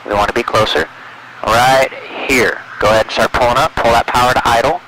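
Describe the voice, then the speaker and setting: Male flight instructor, mid pitch, calm and encouraging step-by-step coaching, clear engine noise on the intercom. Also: brisk, poor audio. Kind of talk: calm step-by-step flying instruction with radio calls. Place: small airplane cockpit in flight. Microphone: aviation headset. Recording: loud aircraft cabin noise, intercom audio.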